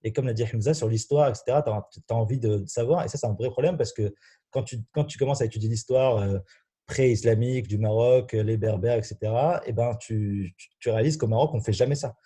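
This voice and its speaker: Young moroccan guy on a podcast, super mellow dark voice, talks fast. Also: medium pitch, slightly rough. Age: young adult. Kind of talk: casual personal chat.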